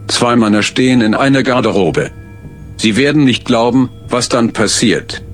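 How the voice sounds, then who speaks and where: A man narrating a scripted comedy story in a measured, mock-epic storyteller manner. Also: mid-range voice. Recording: loud guitar music behind. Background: plucked guitar music.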